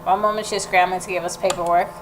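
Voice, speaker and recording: Female gaming host, lowish voice: story read-aloud with candid reactions, slight background noise. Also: little pitch movement, rapid-fire. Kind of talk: reading game narration aloud with reactions.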